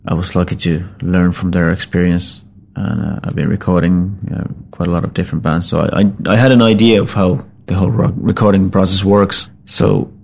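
A middle-aged Finnish-born man with a Finnish accent, a rock musician talking casually in English, his second language, in a halting, rambling, self-deprecating way. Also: sits low, creaky, a little mellow, slight background noise. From Finland. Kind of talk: casual spoken account.

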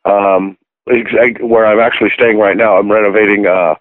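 A man's voice: fairly low pitch, normal speed, no echo. A man from Washington State, a musician and producer, chatting casually in a phone interview, rambling and correcting himself as he goes. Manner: relaxed, rambling, self-correcting. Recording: tinny phone line, dry.

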